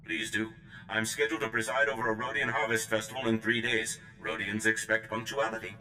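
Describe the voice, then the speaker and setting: Monotone, solemn droid officiant. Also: male voice, lowish voice, fairly quick, reverberant, slight background noise, rough recording. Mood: prim. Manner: formal, flatly ceremonial reciting. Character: solemn, prim male droid officiant, fussily punctual. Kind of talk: scripted video game acting.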